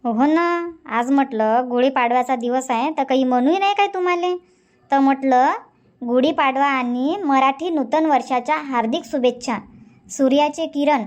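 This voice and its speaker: A woman voices a bickering, loving village wife for a comedy cartoon. Her voice is high, her Marathi is rural Vidarbha, and she talks in an emphatic, nagging, chatty way. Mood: playful, declaiming. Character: bickering but loving Indian village wife and mother-in-law. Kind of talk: cartoon comedy dub dialogue.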